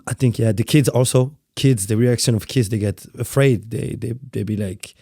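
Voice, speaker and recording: A man in his thirties from France with a French accent, a dance guest speaking in a soft, halting way and often repeating words as he searches for phrasing. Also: medium pitch, gravelly, breathy edge, no echo, studio quality. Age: 30s. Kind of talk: interview conversation.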